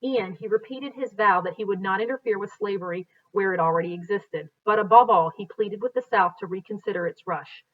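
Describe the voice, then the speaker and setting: A woman's voice: mid-range voice, fairly quick, hardly any pauses. American woman reading aloud, dull recording. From United States. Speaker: teacher reading aloud to students. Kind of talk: read-aloud of a history book with explanatory asides. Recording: dull, lo-fi sound.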